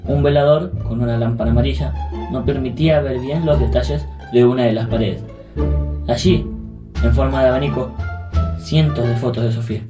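An Argentine man reads solemn radio drama narration at a medium pitch. Music plays clearly beneath his voice. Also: steady tone, moderate pace.

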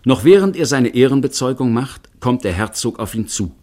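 A man narrating a scripted radio drama in a measured, dramatic storytelling manner. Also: medium pitch, expressive, darkish tone.

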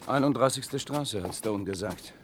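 A man's voice: fairly low pitch, moderate pace, background noise, natural voice. An actor playing a callous, cynical man among hunted survivors in a German radio play, brusque and emphatic. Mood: brisk.

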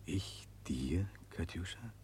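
An actor playing an earnest, lovelorn Russian prince in a German radio play, troubled and pleading. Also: male voice, lively intonation, slow, a little mellow. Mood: tender, sorrowful. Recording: rumbly, slightly noisy radio recording.

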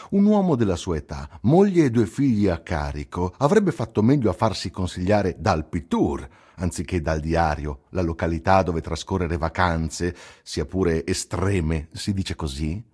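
A man acting out a sneering columnist in a theatrical radio reading. The voice is low and husky, swinging widely in pitch as it heaps sarcasm and scorn on its target. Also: darkish tone, exaggerated voice. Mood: mocking, dry. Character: sneering, sarcastic male newspaper columnist mocking a captive. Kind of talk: dramatic recital of a newspaper column on radio.

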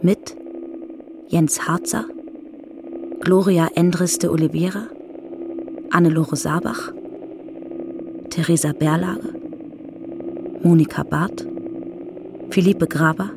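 An announcer reading scripted radio drama credits in a measured, formal manner. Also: medium pitch, fairly even intonation, creaky, background noise.

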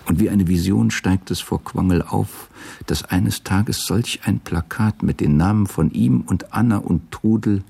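A man narrating a literary radio drama in a measured, sombre way, with a gravelly, husky voice. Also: low-pitched, mellow.

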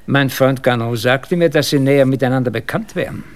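An actor playing an older, courteous English doctor who works as a determined amateur detective in a German radio play. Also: male voice, mid-range voice, fairly quick, natural voice. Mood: polite, probing. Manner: courteous, probing, old-fashioned politeness. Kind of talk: German radio drama acting.

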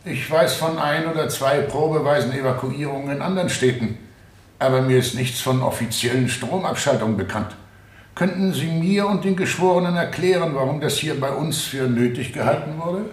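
An actor playing a dignified, inquiring judge in a German radio drama, speaking courteously and deliberately. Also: male voice, on the high side, roomy sound, natural voice. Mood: deliberate, curious.

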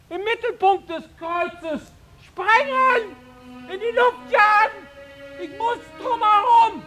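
A man's voice: extremely high pitch, heavily acted, rough recording. An actor in a radio drama playing an obsessive male patient who speaks in strained, methodical, clipped fragments. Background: loud music.

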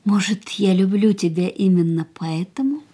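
A woman's voice: medium pitch, expressive, moderate pace, dark tone, slightly rough. An actress playing a frank, intense young woman hungry for life in a radio play, with a dramatic, searching manner. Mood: pensive.

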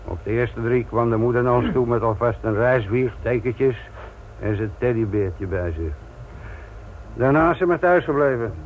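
An actor playing a gruff, sardonic senior police detective lecturing trainees in a radio play. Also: male voice, mid-range voice, lightly acted. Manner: sarcastic, authoritative, lecturing. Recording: muffled, noisy old radio play recording.

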